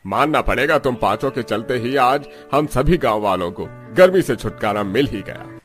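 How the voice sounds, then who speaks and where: A man playing an appreciative, reasonable village headman with a measured, approving manner in a cartoon story. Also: mid-range voice, lively intonation, soft background music, natural voice. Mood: grateful. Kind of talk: Hindi cartoon story dialogue.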